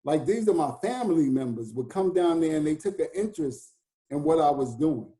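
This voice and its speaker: An American man in his fifties, a DJ and hip house artist, talking in a relaxed conversation and laughing easily. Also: on the high side, brisk. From United States. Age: 50s. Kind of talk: casual conversation.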